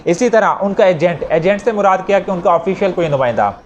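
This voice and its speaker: A man's voice: high voice, lively intonation, a little fast, highs cut off. A man with a Pakistani accent giving an explanatory law lecture, repeating and rephrasing points with worked examples for students. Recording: noticeable background noise.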